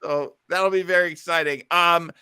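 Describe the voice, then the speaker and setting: Male show host, bright and keen, chatting casually and enthusiastically, recorded dry. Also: on the high side, steady tone, normal speed, clear, resonant tone, no room sound. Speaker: host of an old-time radio listening show. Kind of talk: casual hosting and discussion.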